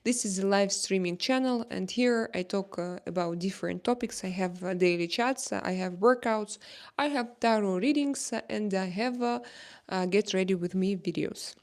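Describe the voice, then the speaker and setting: A woman's voice: mid-range voice, moderate pace, darkish tone. A young woman with a Russian accent hosting a casual live stream who reads viewer comments aloud and chats in non-native English, with plenty of fillers and restarts. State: just back from a workout.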